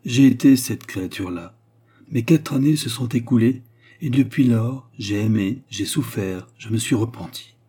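Storybook role: repentant courtesan played by a male reader, solemn and commanding, declamatory, with a husky, dark tone. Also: mid-range voice, moderate pace, pitched above the performer's own voice, natural voice. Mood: repentant, earnest. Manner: formal, declamatory, emphatic. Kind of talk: dramatic storybook dialogue.

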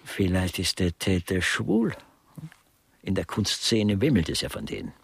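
An actor playing an elderly, gossipy, cynical aesthete in a crime radio play, speaking in a husky, breathy voice with affected relish. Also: male voice, deep voice, huge pitch swings, unhurried, no room sound, heavily acted. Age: older. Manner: affected, musing, theatrically relishing words. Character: Meiser, elderly male honorary guest artist, gossipy, cynical aesthete. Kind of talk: German crime grotesque radio play dialogue.

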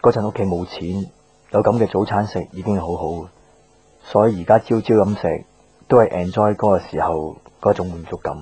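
A man's voice: sits low, normal speed, mellow, lightly acted. An actor in a Hong Kong Cantonese radio drama playing a brooding, guarded young office man given to introspection. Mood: guarded, evasive. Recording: guitar music behind the voice. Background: guitar music.

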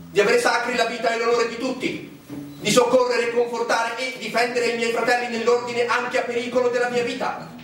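An actor playing an initiate who solemnly declaims a ceremonial oath in measured phrases. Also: male voice, very high voice, a bit bright. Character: solemn initiate swearing a secret lodge oath. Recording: very echoey stage, distant, faint noise.

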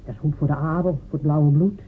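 An actress playing a sharp-tongued female village cobbler and loyal wife, who talks in a dry, teasing, stubborn way. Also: low-pitched, fairly even intonation, fast, slight background noise, close to an everyday voice. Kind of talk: radio drama acting. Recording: phone-like old radio recording.

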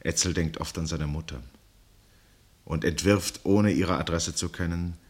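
An actor playing a grave, detached narrator in a radio play, measured and serious, with a husky voice. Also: male voice, sits low, exaggerated voice. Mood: grave, intense. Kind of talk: scripted narration.

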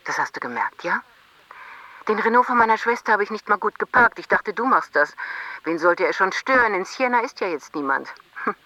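A woman's voice: mid-range voice, very animated, fairly quick, sharp tone, highs cut off, slight background noise. An actress playing a tender, worried wife who confides hesitantly in her husband on a late-night call, in a German radio drama. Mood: wistful. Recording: music behind the voice.

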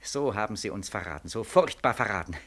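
An actor playing an embittered, desperate young soldier back from war, declaiming with bitter sarcasm in a 1940s radio play. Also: male voice, fairly low pitch, lively intonation, brisk, creaky, fairly bright tone, full character voice. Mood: despairing, bitter. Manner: impassioned, declamatory, bitterly sarcastic, insistently repetitive. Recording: vintage 1940s radio recording.

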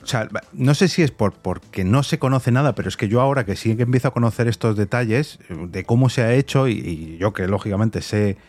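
A man's voice: on the low side, fairly quick, few pauses, a little mellow, soft background music. A man with a Castilian Spanish accent hosting a casual interview, effusive and enthusiastic. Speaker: podcast host, interviewer.

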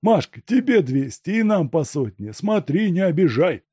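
A man's voice: a little high, sing-song, normal speed, mellow, breathy edge, no room sound, voice pushed up. A man doing a greedy, gleeful male thief. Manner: eager, whispery glee.

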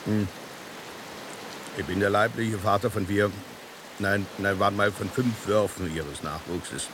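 An actor playing a worldly, philosophical stray tomcat in a radio drama, with a sardonic, dismissive drawl. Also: male voice, fairly low pitch, fairly even intonation, measured pace, close to an everyday voice. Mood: dismissive, mocking. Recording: constant rain-like hiss behind the voice.

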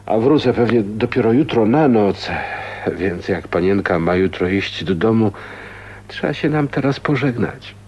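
A man's voice: fairly low pitch, lively intonation, measured pace, darkish tone. An actor in a radio play voicing a blunt, kind-hearted older working man with folksy, hearty speech. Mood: gruff gratitude. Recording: dull, noisy radio drama recording.

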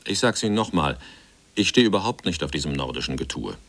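A man's voice: a bass voice, sing-song, fast talker, fairly bright tone, exaggerated voice. A man voicing a dismissive, emphatic sceptic in a German voice-over for a radio feature. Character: gruff male sceptic dismissive of the North.

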